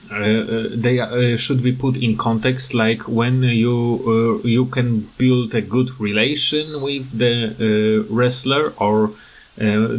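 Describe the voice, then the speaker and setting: A man giving casual, unscripted commentary on a video game, hesitant and halting as he searches for words and often stammers. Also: mid-range voice. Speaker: gaming video creator.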